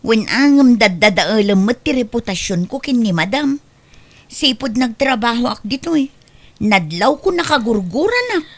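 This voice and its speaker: Woman as an indignant high-voiced Filipina servant. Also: animated, normal speed. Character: indignant female servant defending her good name. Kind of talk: Ilocano comedy radio-style drama acting.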